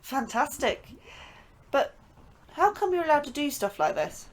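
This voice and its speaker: A woman's voice: high-pitched, big pitch swings, measured pace, full character voice. A woman playing a curious, chatty young science officer who likes to tease her colleagues in an audio drama.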